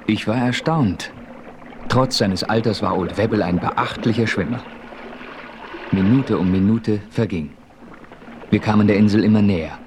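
Man acting a low-voiced, resolute Western narrator. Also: moderate pace, pauses often, lightly acted. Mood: measured storytelling. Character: resolute, commanding Western adventurer telling his story. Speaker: narrator and lead character. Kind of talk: dramatic scripted narration in 1970s radio play. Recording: faintly noisy old radio play recording.

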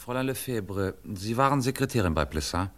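An actor playing a methodical, probing police commissioner in a German radio crime drama, measured and wryly superior. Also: male voice, on the low side, animated, close to an everyday voice. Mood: politely probing.

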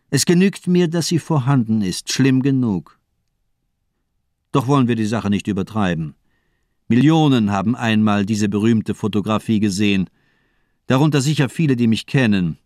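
A man's voice: mid-range voice, a little mellow, no room sound, clean studio sound. An actor playing a murderous, pedantic doctor in a radio crime drama, precise, formal and mocking in his lecturing. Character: cold, amused villain doctor, murderous and pedantic.

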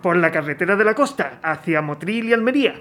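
A man's voice: high voice, expressive, quick pace, a bit bright, a little hiss or hum. A man playing a sober wartime official giving a formal, explanatory briefing in an audio drama. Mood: grave.

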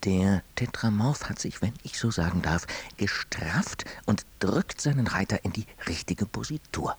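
An actor playing a solemn, excited live commentator at a state ceremony in a radio play, with a husky, hushed voice. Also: male voice, lowish voice, unhurried, exaggerated voice. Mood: solemn reporting.

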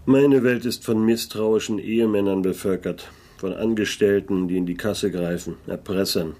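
A male actor as a private detective in a German radio drama, his voice on the low side. He sounds sceptical and sardonic, keeping a dry, wry, guarded manner throughout. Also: steady tone, normal speed, a little mellow, close to an everyday voice.

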